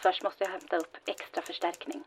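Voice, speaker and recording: A woman playing a brisk, capable agent. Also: lowish voice, flat delivery, lightly acted.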